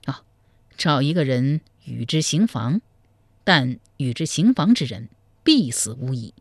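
A man storyteller voicing a sly, scheming male Buddhist monk who counsels in a slow, hushed manner. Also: medium pitch, very animated, no room sound, clean studio sound, pitched below the performer's own voice. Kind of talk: storytelling, acted dialogue.

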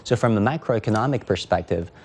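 A male news host with a very low voice introduces his guests briskly. He asks questions in a measured way, with occasional fillers. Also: lively intonation, a little mellow, breathy edge. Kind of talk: live news interview hosting.

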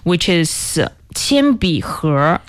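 Middle-aged chinese woman, northern accent, lowish voice, explains words slow and clear, dry close sound. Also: sing-song, dry recording. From northern China. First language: Mandarin Chinese. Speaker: language podcast host. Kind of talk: casual teaching chat.

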